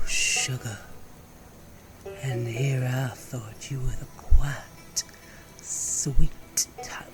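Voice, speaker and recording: A man's voice: medium pitch, rough recording. A man playing a flirtatious, roguish werewolf bounty hunter in a Western audio roleplay, with a teasing, theatrical Southern American drawl.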